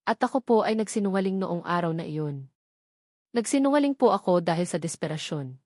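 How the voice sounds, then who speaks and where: A woman's voice: fairly low pitch, animated, fairly quick, darkish tone, no room sound, studio quality. A Filipino woman voicing an earnest young mother who is confessing, in a dramatic story reading. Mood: earnest, pleading.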